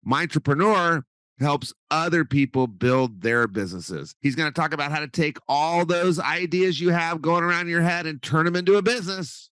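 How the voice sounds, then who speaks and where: A man from the United States hosting a podcast interview, upbeat and joking, talking in quick bursts. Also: fairly high pitch, pushed and bright, clear, resonant tone, no room sound. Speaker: podcast host.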